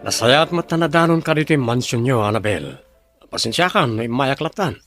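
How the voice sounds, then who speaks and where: A man's voice: mid-range voice, sing-song, moderate pace, music in the background, lightly acted. A man with a Filipino accent playing a rich, bitter suitor with a temper in an Ilocano drama. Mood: gently pleading.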